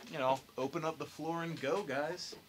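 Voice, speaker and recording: A man's voice: a little high, fairly even intonation, moderate pace. A young man hosting a casual group discussion. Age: young adult. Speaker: podcast host. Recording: clear background noise.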